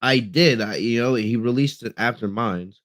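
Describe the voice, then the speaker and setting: A young man from the United States hosts a casual livestream from his bedroom, rambling through his points with constant fillers and restarts. His voice sits at a middle pitch. The mic is close and dry, with almost no room sound. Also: normal speed, fairly bright tone, dry recording. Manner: reads and answers live chat. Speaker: wrestling podcast host. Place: bedroom at home.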